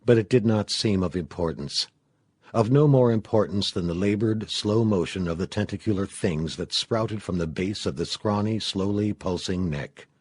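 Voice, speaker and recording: A man narrates a suspenseful audiobook. His voice sits very low and has a heavily raspy, husky grain, captured dry with no room sound. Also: moderate pace, a little mellow, dry recording. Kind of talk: scripted narration of a suspenseful story.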